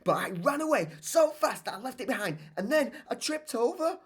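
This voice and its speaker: A woman voicing an imaginative young girl in a storybook, telling tall tales with excitable, dramatic delivery. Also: a little high, normal speed, husky, voice pushed up. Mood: excited, dramatic.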